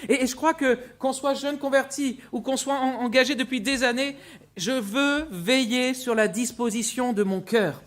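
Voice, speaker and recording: A man from Brittany preaching as a guest pastor in a church, telling his testimony with frequent repeated words and earnest pauses. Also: extremely high pitch, fairly bright tone. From Brittany, France. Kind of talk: sermon with personal testimony. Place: church sanctuary with mezzanine.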